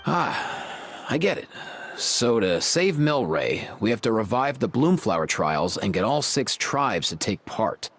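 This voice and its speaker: A relaxed, slangy young chief in a video game, with a gravelly, husky edge. His delivery rises and falls loosely. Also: mid-range voice, unhurried, exaggerated voice. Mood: easygoing. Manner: slangy, easygoing. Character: laid-back young male tribe chief.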